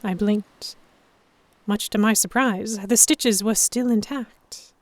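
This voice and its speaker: A woman playing a proud, sharp-tongued historical heroine who narrates a pirate romance in the first person, wry and expressive. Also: mid-range voice, slow, slight background noise, natural voice. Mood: reflective narration. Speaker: narrator and lead voice actor.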